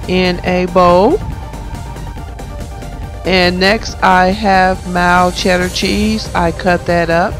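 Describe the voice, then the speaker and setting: Chatty woman with a hoarse cold voice. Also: fairly low pitch. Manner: chatty, enthusiastic. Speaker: cooking vlogger. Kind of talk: casual cooking vlog. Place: parked car. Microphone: phone camera held close.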